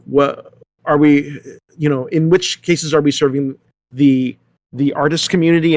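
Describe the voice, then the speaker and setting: A man's voice: mid-range voice, dry recording. A man speaking casually in a panel discussion, thoughtfully circling back over his points as he works through them. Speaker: theater company leader on a panel.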